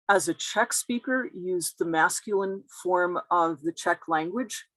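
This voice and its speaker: A woman's voice: lowish voice, fairly bright tone, no room sound, poor audio. A woman giving a careful, explanatory academic talk as a guest lecturer over a video call.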